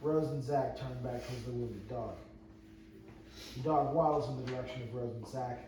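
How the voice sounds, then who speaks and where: A man's voice: medium pitch, moderate pace. A man reading stage directions aloud at an amateur table read, plainly and with some stumbles over phrases. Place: indoor room with a few other readers. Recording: echoey room, distant mic, noisy.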